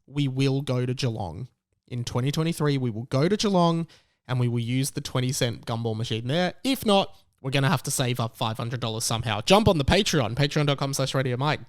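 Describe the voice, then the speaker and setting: Clear-voiced young Australian man hosting a podcast. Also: medium pitch, lively intonation, fairly quick, dry recording, clean studio sound. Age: late 20s. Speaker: podcast host. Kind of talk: casual podcast monologue.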